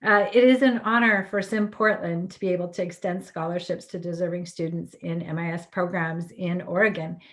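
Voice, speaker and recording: A woman hosting an interview on a video call, encouraging and full of praise, with a few fillers. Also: on the low side. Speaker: interviewer for a professional association. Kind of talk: welcoming interview host.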